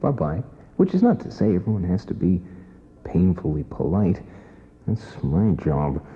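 A man's voice: low voice, big pitch swings, normal speed. A man hosting a radio phone-in, chatting with a caller. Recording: dull lo-fi radio tape with noise.

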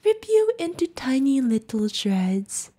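A woman's voice: high voice, sing-song, slow pace, mellow, husky, heavily acted. A woman voicing an insane, sadistic female yandere killer in an ASMR roleplay, creepy and giggling, with teasing, singsong threats. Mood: taunting, giddy. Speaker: voice actor.

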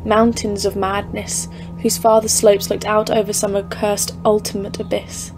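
A woman's voice: mid-range voice, level tone, moderate pace, over music, close to an everyday voice. A woman acting in an audio drama as a haunted expedition leader of thirty-four, grave and literary, foreboding in tone. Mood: awed, dread. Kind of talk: scripted audio-drama narration and dialogue. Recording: clearly noisy, ambient background.

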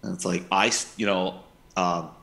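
A man's voice: deep voice, steady tone, moderate pace, gravelly, a bit bright. A middle-aged man co-hosting a show with casual commentary, speaking into a headset mic. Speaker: co-host of an anime news show. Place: indoors on a video call.

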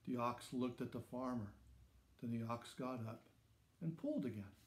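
A man in his sixties reading a children's story aloud in simple, measured phrases with dramatic emphasis. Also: medium pitch. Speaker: storybook reader. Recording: roomy echo around the voice.

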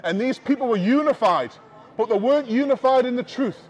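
High-voiced man preaching on the street. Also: expressive, a little fast. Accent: Northern English. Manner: preaching loudly to passers-by, calling out to the crowd. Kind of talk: open-air street sermon. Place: busy outdoor shopping street.

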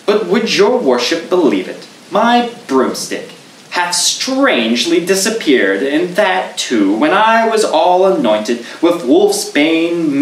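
A man voicing a gossipy old woman who is secretly a witch, in a shrill high voice. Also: echoey room, far from the mic, slight background noise, poor audio.

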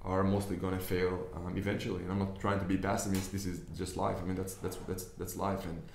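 A young Israeli man talks to camera from an office desk, his voice low and fairly level in tone. He explains his points earnestly and often restarts his sentences. The room gives his voice a clear echo. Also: flat delivery, brisk, a little mellow, a little hiss or hum. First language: Hebrew. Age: young adult. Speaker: business investor. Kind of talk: casual talk to camera. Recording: echoey room.

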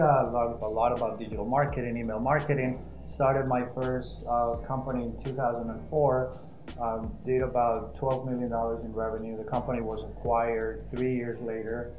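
A middle-aged man answers interview questions in a calm, matter-of-fact way. His voice carries a roomy echo from the glass-walled office, and music plays clearly in the background. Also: medium pitch. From Colombia. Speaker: guest entrepreneur. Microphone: lapel mic.

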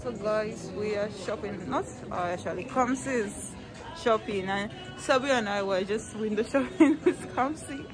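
A woman's voice: medium pitch, normal speed, low-quality recording. Young woman, vlogger, chatty, filming herself at arm's length, music underneath. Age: young adult. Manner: chatty, addresses viewers directly. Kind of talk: casual vlog chat. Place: on the move, streets, car, metro and mall. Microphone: phone held at arm's length.

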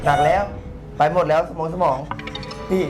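A woman's voice: sits low, little pitch movement, normal speed, voice pushed down, close to an everyday voice. A female performer voicing a blunt young man in a skit, with music behind.

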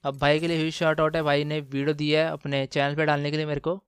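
A man giving casual, excited gameplay commentary, slangy and exclamatory, repeating phrases for emphasis. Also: on the high side, fast, smooth, no room sound, clean studio sound. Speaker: gaming channel host.

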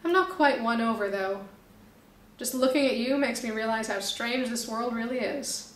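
A woman's voice: fairly high pitch, moderate pace, echoey room, lightly acted. A woman voicing an earnest, suspicious male snake police officer in a storybook reading. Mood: stern.

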